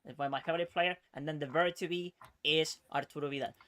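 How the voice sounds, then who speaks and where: A man with a fairly high voice gives a one-on-one English lesson. He explains step by step and checks his student's understanding with a steady stream of "okay?" He sometimes slips into Spanish to make a point clear. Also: fast. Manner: patient, checks in with frequent "okay?". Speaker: English teacher.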